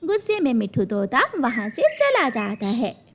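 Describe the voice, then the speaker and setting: High-pitched, lively woman storyteller. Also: normal speed, slight background noise. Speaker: story narrator. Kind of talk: scripted children's story narration.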